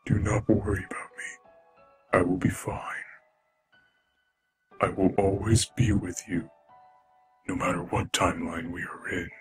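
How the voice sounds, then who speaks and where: A man voicing a gentle, sorrowful old monster father in a cartoon, speaking in a formal, solemn, measured way. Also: low-pitched, fairly even intonation, soft background music. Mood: reassuring. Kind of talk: animated fan dub acting.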